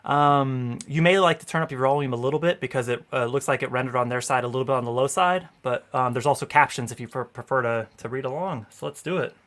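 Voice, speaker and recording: A man's voice: mid-range voice, fast, few pauses, fairly bright tone. A man in his thirties hosting a casual livestream in an upbeat way, with a lot of "you know" fillers, speaking into a headset at home. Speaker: host. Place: home room.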